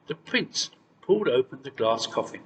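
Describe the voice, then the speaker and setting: A man's voice: mid-range voice, big pitch swings, moderate pace, a bit bright, recorded from a distance. A man narrates a children's fairy tale with very varied intonation. He sounds set back from the mic in a long reverb, with faint noise underneath. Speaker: storyteller.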